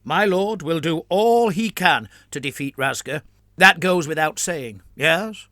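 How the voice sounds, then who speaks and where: A man's voice: a little high, animated, measured pace, pushed and bright, no echo, voice pushed up. Brief: a man doing a fervent senior priest, insistent and preachy, dry close sound. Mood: urgent. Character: stern zealous male priest, pleading for war.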